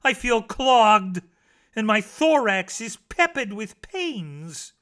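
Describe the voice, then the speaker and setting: Man acting a plaintive, high-pitched ailing patient. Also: very animated, pushed and bright, smooth, heavily acted. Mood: plaintive lament. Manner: plaintive, drawn-out lamenting. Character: ailing, lamenting male patient, melodramatically self-pitying.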